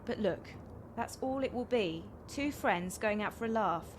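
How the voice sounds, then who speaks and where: A woman's voice: mid-range voice, animated, measured pace, noisy background, lightly acted. A British actress playing a flighty, good-hearted woman in a radio play, speaking frankly and reassuringly. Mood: kind, frank.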